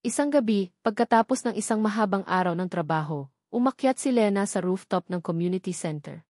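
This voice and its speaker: A woman reads a sentimental story as a narrator. Her delivery is earnest and measured, at a middling pitch. The sound is close and dry, with no room around it. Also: lively intonation, a little mellow, no room sound.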